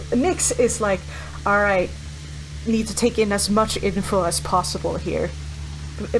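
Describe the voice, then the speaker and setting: A woman's voice: mid-range voice, slight background noise, rough recording. A woman talking casually as a player in a tabletop roleplaying game, with music behind her.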